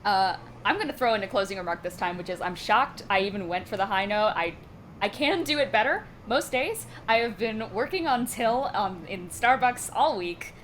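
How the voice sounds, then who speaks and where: A woman's voice: medium pitch, normal speed, a little hiss or hum. A young woman running a tabletop game, wrapping up the session with lots of laughter. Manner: giggly, energetic. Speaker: game master. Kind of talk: casual chat.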